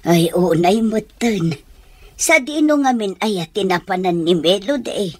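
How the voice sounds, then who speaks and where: A woman's voice: medium pitch, lively intonation, a little slow, darkish tone. A woman playing a loving, sorrowful elderly grandmother in an Ilocano radio drama, with an Ilocano accent. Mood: loving, concerned.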